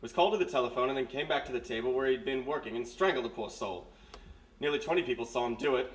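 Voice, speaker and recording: A man's voice: mid-range voice, fast talker, natural voice. A man playing a stern, dry army major with clipped, formal speech in a live radio mystery. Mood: brisk, matter-of-fact. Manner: clipped, formal, matter-of-fact. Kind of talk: live old-time radio mystery acting. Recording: roomy live stage, distant mic.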